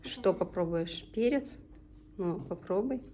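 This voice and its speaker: A woman's voice: mid-range voice, animated, takes it slowly, a loud crowd. A woman in her thirties from Tatarstan whose first language is Tatar, chatting casually in a home vlog while cooking and guiding her children. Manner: chatty and homely, often breaks off to instruct her children. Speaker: vlogger and mother. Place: home kitchen.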